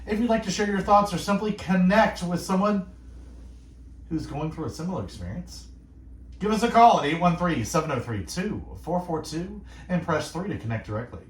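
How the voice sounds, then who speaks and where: A male radio host speaking in a calm, soothing, meditative way, gently musing and asking reflective questions. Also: high voice, normal speed, roomy sound. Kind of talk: gentle devotional radio talk.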